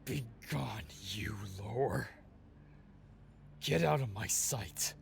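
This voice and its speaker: A man playing a weakened, proud vampire with formal, solemn phrasing and a breathy voice that dips into whispers, in a dramatic ASMR audio roleplay. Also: mid-range voice, drawn-out words, slight background noise, exaggerated voice. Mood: urgent, commanding.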